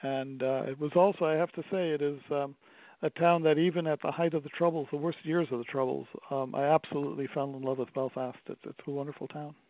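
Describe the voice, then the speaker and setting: A man's voice: on the high side, fairly even intonation, no echo. Man with filler-heavy speech on a tinny line. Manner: halting, many fillers and restarts. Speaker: theater owner. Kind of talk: radio interview guest. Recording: dry, tinny phone-like line.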